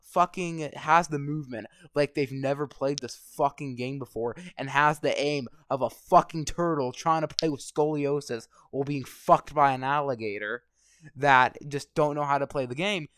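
A young man with a slightly hoarse voice, ranting and swearing constantly while commenting over gameplay. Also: medium pitch, normal speed, a bit bright, no echo. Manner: angry, swearing constantly, rambling. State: voice going hoarse. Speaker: gaming vlogger.

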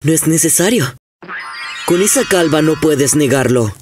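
A man's voice: on the high side, expressive, normal speed, over music, close to an everyday voice. A man with a Latin American Spanish accent voicing a proud, vengeful young ninja assassin in an anime fan dub, theatrical, menacing and boastful. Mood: suspicious, accusing.

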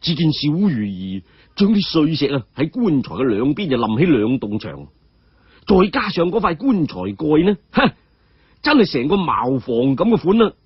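A man with a Hong Kong Cantonese accent telling a martial arts story in a lively, colloquial oral style. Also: a little high, moderate pace, slightly rough, no room sound, lo-fi sound.

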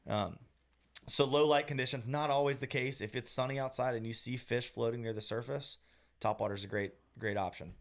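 A man's voice: medium pitch, steady tone, fairly quick. A man in his thirties, co-hosting a podcast, explaining step by step with frequent restarts, recorded on a studio mic in a small room. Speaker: co-host, fishing guide. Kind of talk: casual podcast chat. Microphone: studio mic on boom arm.

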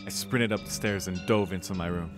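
A man's voice: sits low, brisk. A man reading a visual novel aloud as a streamer, with casual, sweary asides. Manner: casual, profane reactions between read passages. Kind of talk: let's-play narration with casual asides. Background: game music.

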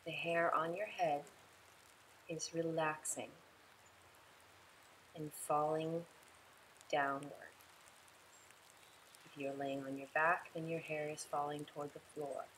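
A woman leading a guided relaxation meditation in a soothing, unhurried way, giving instructions in short, spaced phrases. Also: sits low, a bit bright, slight background noise, poor audio.

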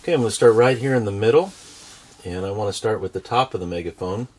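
A middle-aged American man with a fairly low voice gives a step-by-step drawing lesson. He explains things simply and patiently, as if for children. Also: quick pace, a little mellow, rough recording. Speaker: drawing instructor and show host.

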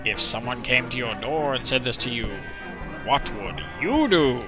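Man as a pompous, animated educational-film announcer. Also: medium pitch, unhurried, natural voice. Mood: stern, instructive. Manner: pompous, emphatic, mock-serious. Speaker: narrator. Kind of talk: parody of a mid-century educational film narration. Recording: narration over background music. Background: music.

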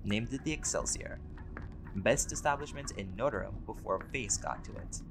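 A man's voice: on the low side, animated, moderate pace, over loud music. A man playing a laid-back, vain, centuries-old vampire in a conversational roleplay audio drama, with casual, sweary, bantering delivery. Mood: reflective, candid.

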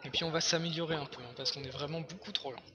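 Hoarse young man, casual game commentary, chatty and excitable, home mic, audible hiss. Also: a little high, quick pace. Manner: chatty, excitable, full of fillers and repeats. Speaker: gameplay commentator. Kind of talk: casual let's-play commentary.

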